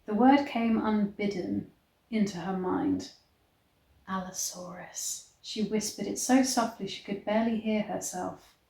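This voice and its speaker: A woman's voice: mid-range voice, unhurried, creaky, warm tone. Echoey woman reading a story dramatically. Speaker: host reading a story aloud. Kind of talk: dramatic read-aloud of a romance story. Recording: echoey, roomy sound.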